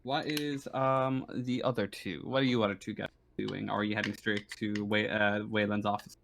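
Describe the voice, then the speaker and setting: A man's voice: on the low side, flat delivery, normal speed, gravelly. A man running a tabletop game as game master, talking casually in an easygoing, accommodating way. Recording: noisy line with clicks.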